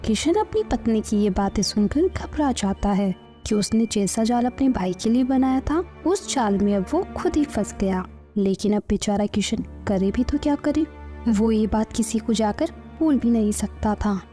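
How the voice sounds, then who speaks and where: A woman's voice: on the high side, animated, moderate pace, dark tone. A woman storyteller reading scripted narration for a children's story. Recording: music under the voice.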